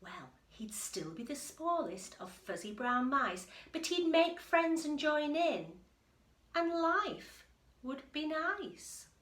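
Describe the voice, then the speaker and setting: A woman with a fairly high voice reads a picture book to young children. She takes her time and tells it expressively, with emphatic phrasing and chatty asides. The room gives her voice a clear echo. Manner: animated, deliberate storyteller with emphatic delivery. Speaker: infant school teacher reading aloud. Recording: roomy, echoey indoor sound.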